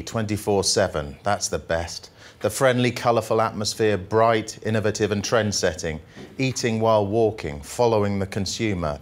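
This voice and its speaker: A middle-aged man reading poetry aloud to a room with deliberate, mock-solemn phrasing, speaking into a handheld microphone. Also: on the low side. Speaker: journalist reading poems at a workshop. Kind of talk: live poetry reading with casual asides to an audience. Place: indoor presentation room with projection screen.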